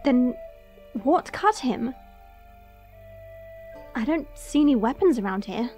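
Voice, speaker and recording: Woman as dragon princess, high voice, haughty, indignant, audio drama, music behind. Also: big pitch swings, takes it slowly, warm tone, full character voice. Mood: cool, curious. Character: sharp-eyed young dragon princess, haughty toward humans. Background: background music.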